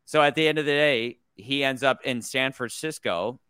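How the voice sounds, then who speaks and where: An American man holds forth in a casual movie podcast with a bright, forceful tone and a smooth, crisp voice. he rambles, swears freely and keeps circling back to restart his points, all close to the mic in a dry room. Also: mid-range voice, moderate pace, no room sound. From United States. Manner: rambling, opinionated, profane, frequent restarts. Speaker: podcast host. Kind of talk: casual movie review chat.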